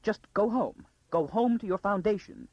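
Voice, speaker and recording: A frightened lady of high rank, played by a male narrator, pleads urgently in a high-pitched, husky voice. The manner is frantic and wailing, as in a dramatic audiobook. The recording is dry and dull. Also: moderate pace, no room sound. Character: frantic, frightened noblewoman urging a girl to flee.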